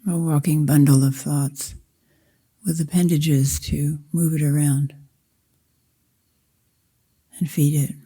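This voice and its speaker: Woman, guided meditation, very mellow dark tone, unhurried, measured phrasing, long pauses. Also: low-pitched, fairly even intonation, slightly rough. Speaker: Zen meditation teacher. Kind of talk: guided meditation, slow spoken instruction.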